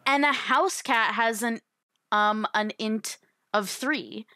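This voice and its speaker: A woman's voice: a little high, takes it slowly, pushed and bright, no room sound. Woman in casual, close dry chat. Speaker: podcast guest. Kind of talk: casual tabletop gaming chat.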